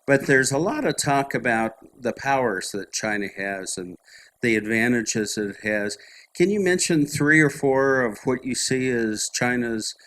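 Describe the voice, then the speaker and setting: A man's voice: mid-range voice, expressive, unhurried, fairly bright tone, slight background noise. A male moderator asking a long, rambling question in a conversational manner.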